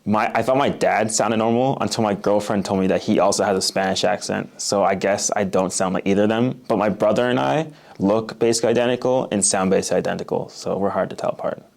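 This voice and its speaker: A man's voice: on the low side, fast talker, creaky, hardly any pauses, a little mellow. A nineteen-year-old man with a Midwestern American accent, reading a passage and then chatting casually in an interview, with lots of "like" fillers. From Oak Park, Illinois, USA. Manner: casual, uses many "like" fillers.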